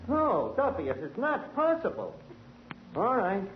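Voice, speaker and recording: An actor playing a flustered, wisecracking New York tavern manager in a 1940s radio comedy, slangy and grumbling. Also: male voice, high-pitched, huge pitch swings, unhurried, exaggerated voice. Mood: flustered, placating. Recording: noisy, muffled 1940s radio broadcast.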